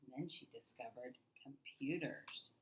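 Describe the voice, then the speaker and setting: A woman reading a children's picture book aloud as a storyteller, with expressive, emphatic delivery and deliberate phrasing. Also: rumbling low, slight background noise, low-quality recording.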